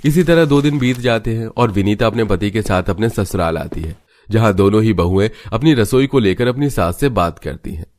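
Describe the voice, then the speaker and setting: Guy narrating a cartoon story from a script, lowish voice. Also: normal speed, a little mellow.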